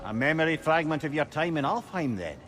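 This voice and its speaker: A man's voice: on the high side, sing-song, fairly quick. A man playing a wry, chatty companion to a warrior in a video game. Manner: wry, familiar. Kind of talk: dramatic video game acting.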